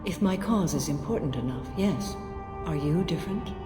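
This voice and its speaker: A woman acting a stern, serene alien warrior monk in a video game, with a measured, formal and unyielding delivery. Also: low-pitched, expressive. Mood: calm, solemn. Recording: echoey reverberant space, loud music underneath.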